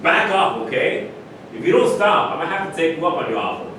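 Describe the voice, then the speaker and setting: A man's voice: very animated, fairly quick. Man acting cocky sports star in echoey room. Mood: scornful, heated. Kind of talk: staged reading of a play. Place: echoey room at a live staged reading. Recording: very echoey room, distant mic, loud rumbly noise.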